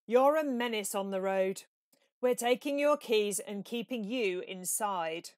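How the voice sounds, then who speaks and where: A woman's voice: mid-range voice, unhurried, no echo, clean studio sound, lightly acted. A woman voicing a stern, commanding male badger from a children's storybook, speaking emphatically.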